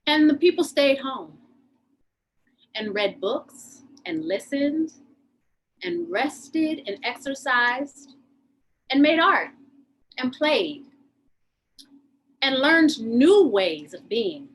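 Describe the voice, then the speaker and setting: A woman's voice: high voice, very animated, drawn-out words, long pauses, slightly rough. A woman reading dramatic scripted narration for a stage piece. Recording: noisy room ambience.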